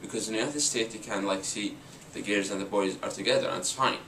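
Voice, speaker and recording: A man's voice: on the low side, fast, sharp tone, a little hiss or hum. A young man from Arabia, answering interview questions as an international student in a casual manner with filler words. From Saudi Arabia. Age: young adult. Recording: echoey room, mic at a distance.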